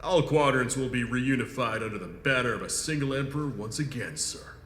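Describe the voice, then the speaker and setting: An actor playing a dutiful, loyal security chief in a sci-fi audio drama, formal, deferential and hesitant. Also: male voice, mid-range voice, brisk, fairly bright tone, lightly acted. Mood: dutiful, stiff. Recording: echoey hall, faint background noise.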